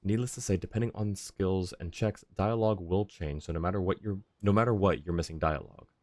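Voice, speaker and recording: Very deep-voiced male game streamer. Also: fairly quick, no room sound, studio quality. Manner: rambling, deliberating, self-correcting. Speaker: video game livestreamer. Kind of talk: casual livestream commentary, thinking aloud. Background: soft game music.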